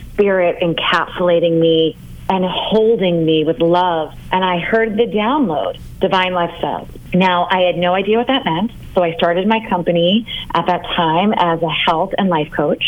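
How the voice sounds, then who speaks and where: A woman health coach tells her story in an earnest, heartfelt way. Her voice is on the low side and comes through a tinny phone line. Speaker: holistic health coach guest. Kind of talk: personal story over phone.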